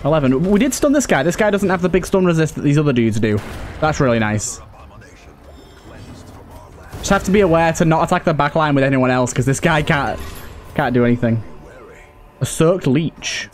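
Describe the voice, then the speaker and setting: Male gaming host, lightly high-pitched, casual play-by-play with repeated phrases, game sounds underneath. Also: expressive, moderate pace, pauses often, a little hiss or hum. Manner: repeats phrases, thinking aloud. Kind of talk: casual let's play commentary. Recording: clear background game ambience. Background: video game ambience and effects.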